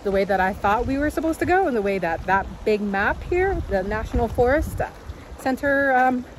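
A woman's voice: on the high side, expressive. A woman, chatting casually to her camera while hiking outdoors, holding it at arm's length. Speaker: vlogger. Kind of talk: casual outdoor vlog chat. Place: outdoors on a rocky creek trail. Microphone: handheld camera at arm's length. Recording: noisy outdoor recording with wind and rushing water.

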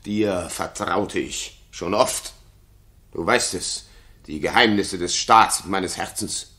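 A bitter, brooding king eaten up by jealousy in a classical radio drama. His voice is fairly low, with a hard, forceful edge, and he weighs his words slowly and theatrically. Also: exaggerated voice. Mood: suspicious probing. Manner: brooding, theatrical, swinging from muttering to outbursts. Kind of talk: 1960s German radio play, classical stage acting.

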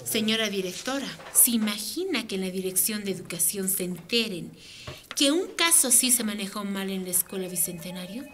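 Firm, bright-voiced woman advocate, acted by a woman. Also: mid-range voice, expressive, fairly quick, a little hiss or hum, natural voice. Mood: firm, persuasive. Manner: earnest, direct, insistent. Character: firm, outspoken women's rights advocate, adult woman. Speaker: radio drama actor. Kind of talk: radio drama acting.

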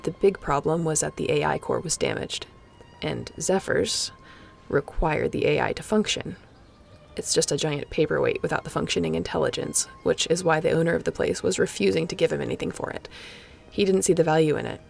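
Woman acting a gravelly, wry female space captain. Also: low-pitched, a little fast. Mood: reflective storytelling. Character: guarded, wry female spaceship pilot captain, softening toward an old friend. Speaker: voice actor in audio roleplay. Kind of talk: intimate scripted audio roleplay.

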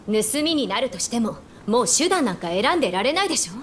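Frank, spirited young woman, high-voiced. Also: big pitch swings, fast talker, a little hiss or hum. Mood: determined. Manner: casual, teasing, self-assured. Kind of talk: Japanese video game cutscene acting.

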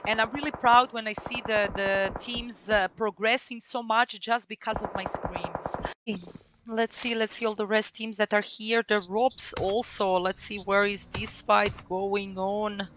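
A young woman with a Croatian accent, commentating a mobile shooter tournament live and getting excitable at big plays. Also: mid-range voice, a little slow, noisy background. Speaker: streamer casting a mobile game tournament. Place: small room at home, indoors. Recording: loud gunfire from game audio.

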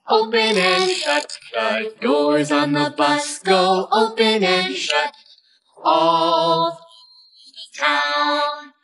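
A woman's voice: sits high, creaky, distant mic. A woman singing a children's nursery song in a simple sing-along way, with the words repeated over and over. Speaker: singer. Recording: voice set back from the mic over loud backing music.

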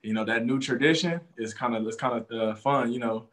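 A man's voice: mid-range voice, a little fast, a bit bright, faint music behind. A young man, a college defensive back, answering press questions in an easygoing way, often saying "you know" and "man". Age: young adult. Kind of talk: post-game press conference answers.

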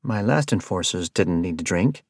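Storybook: uptight male bureaucrat, read by a man, calm and measured, dry close sound. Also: low voice, no room sound. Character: reserved rule-loving male office bureaucrat.

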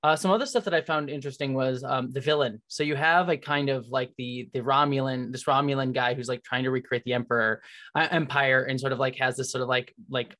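An interview host talking casually and enthusiastically as a fan, in a rambling, halting way on a video call. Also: medium pitch, a bit bright. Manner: rambling, halting, filler-heavy. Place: indoors, remote video call.

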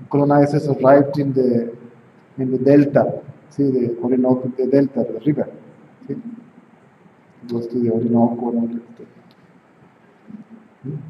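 A man in his forties from Venezuela, with a Venezuelan Spanish accent, giving an informal spiritual talk at home, often asking "right?" and "see?". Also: medium pitch. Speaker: spiritual teacher. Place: home room with tiled walls, indoors. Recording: roomy, dull camera sound, faint hiss.

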